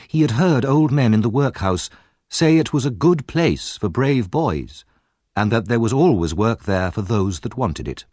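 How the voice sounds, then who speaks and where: A man narrating a story as a scripted audiobook, in a measured and expressive storytelling manner. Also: fairly low pitch, dry recording, studio quality.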